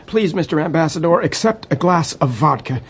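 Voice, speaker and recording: Anxious, courteous US president for radio drama: American accent, creaky, fairly high, formal and conciliatory. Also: male voice, expressive, a little fast, a little hiss or hum. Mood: earnest, pleading. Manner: formal, placating, careful. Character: earnest, polite, anxious male American president. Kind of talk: radio play acting.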